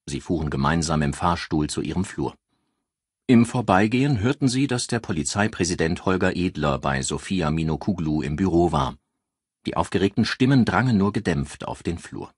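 A man's voice: sits low, expressive, normal speed, husky, no room sound, studio quality. A man reading a crime novel aloud as a scripted audiobook narrator.

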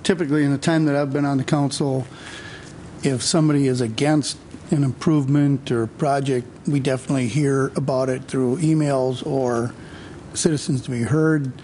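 A male city councilmember weighs in during a council meeting, his voice in a middling register with a faint hiss behind it. He talks in a measured, hesitant way, pausing on fillers as he works through his point. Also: medium pitch, fairly even intonation, warm tone.

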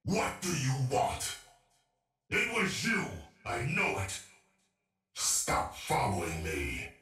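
A man's voice: medium pitch, wildly swooping pitch, slow pace, recorded from a distance, exaggerated voice. A man voicing a paranoid undead cyborg killer in a video game, with a robotic, menacing growl and clipped, unhinged delivery. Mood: paranoid, agitated. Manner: clipped, unhinged muttering swinging into shouts. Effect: robotic voice filter. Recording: echoey game audio with music behind.

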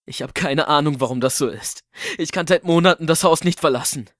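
A man's voice: a little high, a bit bright, no room sound, clean studio sound, close to an everyday voice. Despairing trapped man, acted by a man. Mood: resigned. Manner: halting, resigned, pleading. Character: lonely, despairing man trapped in his house, apologetic.

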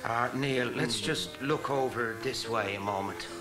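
A street-smart alley cat voiced by a man cracks wise in slangy, broken grammar. The voice sits at an ordinary male pitch, gruff and casual, in a comic radio play. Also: measured pace, loud music behind the voice, natural voice. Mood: sly. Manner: tough-talking slang with bad grammar.

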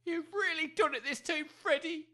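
A woman's voice: high voice, normal speed, bright, forceful tone. A woman playing a harried, scolding village mother in an audio comedy drama, exasperated and colloquial.

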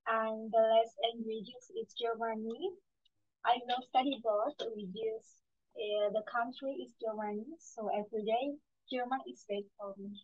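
A woman's voice: a little high, fairly even intonation, a little slow, a little mellow, slightly rough. A young woman with an Indonesian accent, a student answering speaking-test questions over a headset on a video call, hesitant and full of fillers and repeated words. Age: young adult. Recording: dry, phone-like call audio.

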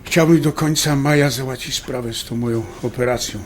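A man's voice: mid-range voice, a little fast, slight background noise, lightly acted. A man playing a stubborn but affectionate husband in a naturalistic audio drama, speaking conversationally. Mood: stubborn, decided. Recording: faint clinking restaurant background. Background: cutlery and dishes clinking.

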